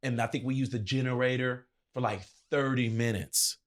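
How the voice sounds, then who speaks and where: A man's voice: medium pitch, steady tone, normal speed. Male DJ being interviewed, mid-range voice, casual storyteller with emphatic, slangy delivery. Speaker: interview guest, event DJ.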